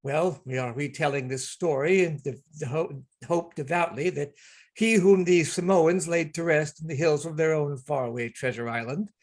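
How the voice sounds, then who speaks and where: Guy introducing an online radio play reading, kinda high voice, announcer role. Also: moderate pace. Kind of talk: spoken introduction and scripted narration. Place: online video call reading. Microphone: video call microphone.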